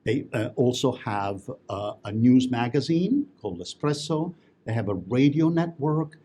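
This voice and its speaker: Husky-voiced man telling stories. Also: medium pitch, lively intonation, a little slow, warm tone. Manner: chatty storyteller with frequent fillers and restarts. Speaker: interview guest. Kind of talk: casual interview conversation.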